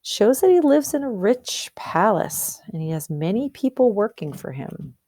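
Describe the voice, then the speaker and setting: A woman's voice: mid-range voice, very animated, normal speed, mellow, no echo, clean studio sound. A woman teacher doing a storybook read-aloud, explaining and posing questions as she goes. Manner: explanatory, asks and answers questions.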